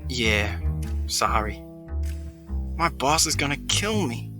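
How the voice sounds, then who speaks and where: A man's voice: mid-range voice, sing-song, slow pace, over music. An actor playing an anxious, earnest, hapless young male shop clerk in a comic noir audio play. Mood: sheepish, worried.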